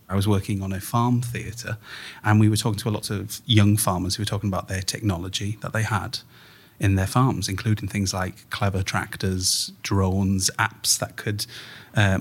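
Guy chatting in an interview, husky n gravelly, telling it like a story. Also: fairly low pitch. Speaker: playwright being interviewed. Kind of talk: conversational storytelling.

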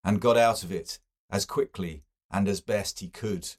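A man reader puts on a sceptical man of learning from a literary novel. He carps and finds fault, his voice on the low side. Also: unhurried. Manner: carping. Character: carping, sceptical male literary scholar.

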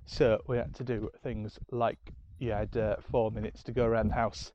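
Chatty Yorkshire man, mellow lo-fi. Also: fairly low pitch, level tone, normal speed, slight background noise. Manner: chatty, self-deprecating, many ums. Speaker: diary podcast host. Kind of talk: casual rambling chat. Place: home.

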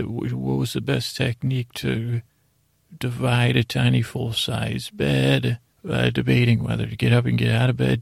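A man tells a meandering bedtime story as a podcast host. His voice is heavily gravelled with fry and stays in a narrow, even range. He halts, drifts and restarts phrases, all captured in a close, dry sound. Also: mid-range voice, no room sound. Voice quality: gravelly, creaky voice. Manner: halting, meandering, trailing off and restarting mid-phrase. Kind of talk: rambling bedtime storytelling monologue.

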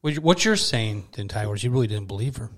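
A man's voice: fairly low pitch, animated, fast talker. A man, a church pastor, playing a mock therapist on a podcast in a dry, joking manner. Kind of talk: playful mock therapy session.

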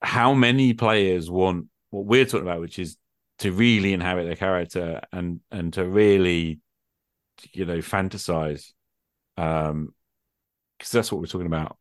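A man's voice: low-pitched, fairly even intonation, normal speed, no echo, studio quality. A middle-aged man in a casual, extended conversation, thinking aloud in a reflective, hesitant way. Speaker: podcast host. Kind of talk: extended casual conversation about role-playing games.

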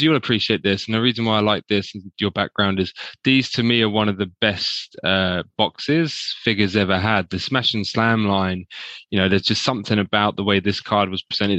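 A man's voice: fairly low pitch, pushed and bright, no echo, muffled recording. A man in his thirties co-hosting a podcast, chatting in a reflective way with many asides. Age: 30s. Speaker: podcast co-host. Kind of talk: casual chat.

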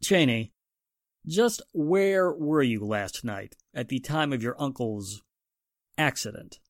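Man acting probing police inspector, animated delivery. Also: medium pitch, no room sound, studio quality. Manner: pointed, deliberate interrogation. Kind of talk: 1940s-style radio drama acting.

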